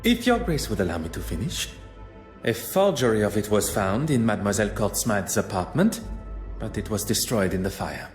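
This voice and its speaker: A man voicing a courteous, inquisitive detective with a French accent in a video game, formal and measured. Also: fairly low pitch, very animated, darkish tone, roomy sound. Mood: grave, factual. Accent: French-speaking. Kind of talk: scripted video game dialogue acting. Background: music.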